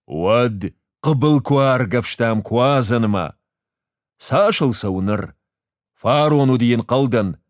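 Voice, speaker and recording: A man reading a short story aloud as an expressive literary narrator. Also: medium pitch, slow pace, no echo, clean studio sound.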